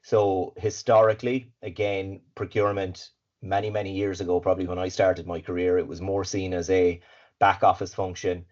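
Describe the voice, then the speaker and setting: Irish male presenter, low raspy voice, hay-fever congestion, upbeat and fluent, muffled call audio. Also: flat delivery, normal speed, darkish tone. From Ireland. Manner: upbeat, fluent, with filler words and repeats. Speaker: guest speaker, supply chain consultant. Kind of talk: webinar presentation. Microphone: video call. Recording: dull lo-fi video call audio.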